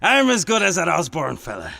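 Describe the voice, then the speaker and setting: Drunken, boastful Irish playwright. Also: male voice, fairly high pitch, huge pitch swings, a little fast, gravelly, pushed and bright, exaggerated voice. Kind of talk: radio play acting.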